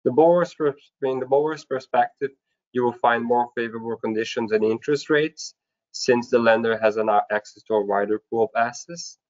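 A man's voice: mid-range voice, brisk, a little mellow. A man giving a formal, scripted slide presentation in a webinar, going through his points step by step. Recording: dull, lo-fi online webinar audio.

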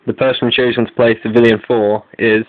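A man's voice: medium pitch, little pitch movement, a little fast, no room sound. A man hosting an online video update, reading out announcements in a scripted style. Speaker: video creator and host. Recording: phone-like, narrow lo-fi sound.

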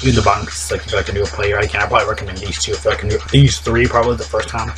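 A young man giving casual mobile game tips from a small room on a webcam, rambling and repeating himself, his voice a little deep and strained. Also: little pitch movement, very fast. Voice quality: voice a bit deep and strained today. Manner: rambling, repetitive, upbeat. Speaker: mobile game content creator. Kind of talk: casual game guide commentary. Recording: background music throughout.

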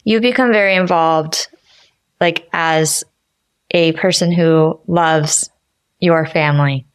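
A woman's voice: sits low, slow, clear, resonant tone, dry recording. A woman hosting a podcast, asking questions in a conversational interview style.

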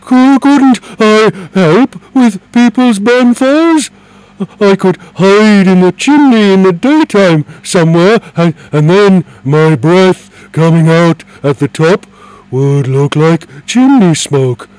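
A man's voice: on the high side, slow pace, a little mellow, no echo, voice pushed up, poor audio. A man voicing a sweet, lonely storybook dragon. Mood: excited. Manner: plaintive, wheedling storybook voice. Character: gentle lonely male dragon, eager and sweet-natured.

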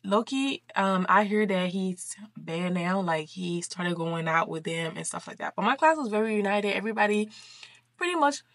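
A woman's voice: medium pitch, moderate pace, a bit bright, dry recording. A young woman from Liberia chatting casually in slangy, emphatic speech, telling a story like a vlogger. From Liberia, West Africa. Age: young adult. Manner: informal, slangy, emphatic repetition. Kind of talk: casual chatty storytime while doing makeup. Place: small furnished bedroom, indoors.

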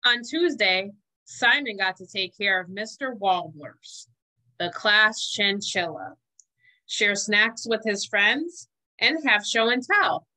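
American woman, storytime reader, children's book, lively intonation, slightly slow pace. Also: medium pitch, rough recording. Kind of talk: reading a children's picture book aloud. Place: small room indoors. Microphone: webcam video call.

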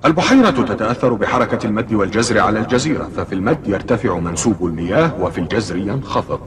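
A man's voice: fairly low pitch, animated, fast, natural voice. An actor playing a middle-aged uncle guiding a boy through an adventure, fatherly and instructive, in a dubbed cartoon. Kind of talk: dubbed cartoon acting in formal Arabic. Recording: distant, noisy old cartoon soundtrack.

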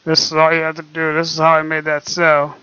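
Soft-spoken man on a lo-fi laptop mic. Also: fairly high pitch, monotone, quick pace, clean tone, no room sound. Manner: soft-spoken, hesitant, many fillers. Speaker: vlogger. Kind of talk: casual screen-recorded talk. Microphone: laptop microphone.

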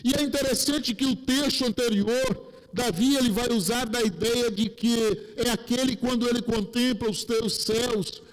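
Male pastor, 40s, Rio Brazilian accent, deliberate sermon delivery, headset mic in a large reverberant sanctuary. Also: slight background noise. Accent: Rio de Janeiro Brazilian Portuguese. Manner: slow, deliberate preaching with emphatic drops in pitch; gestures broadly while preaching at a pulpit. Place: large church sanctuary, indoors. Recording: long church hall reverb.

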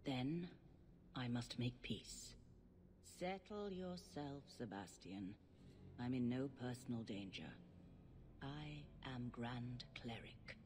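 An actress playing a calm, pious, dignified elderly high priestess in a video game, measured and authoritative. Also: female voice, exaggerated voice. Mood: serene, devout. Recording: long reverb, faint rumbling noise.

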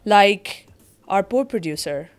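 A young woman co-hosting a podcast in casual chat, upbeat and enthusiastic, on a boom-arm studio mic in a small foam-lined studio. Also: expressive, takes it slowly, clear, resonant tone. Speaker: podcast co-host.

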